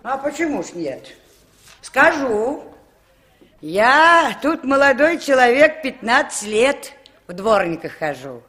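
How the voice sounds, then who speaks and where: A woman's voice: sits high, very animated, takes it slowly, fairly bright tone, full character voice. A woman playing a chatty older street sweeper in a 1960s radio drama, with a colloquial, rambling manner. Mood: unhurried, chatty. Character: chatty, folksy older female street sweeper, unhurried and helpful.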